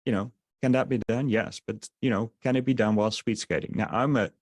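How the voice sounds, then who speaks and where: Dutch-accented male webinar presenter, lowish voice, rambling sales pitch, dry close mic. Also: fairly quick, darkish tone, dry recording. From Netherlands. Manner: rambling, many fillers and restarts.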